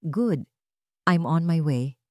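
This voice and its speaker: A woman's voice: low-pitched, animated, takes it slowly, no echo, clean studio sound. Woman voicing a terse, determined rich businessman. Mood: resolute. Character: determined young wealthy businessman giving orders.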